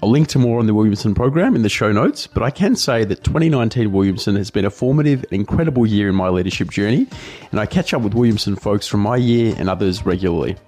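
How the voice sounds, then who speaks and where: An Australian man with a fairly low voice works as a podcast host. He speaks in an informal, upbeat manner, like someone at ease behind the microphone. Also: animated, fast talker, a little mellow, husky, faint music behind. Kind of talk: scripted podcast introduction and casual interview.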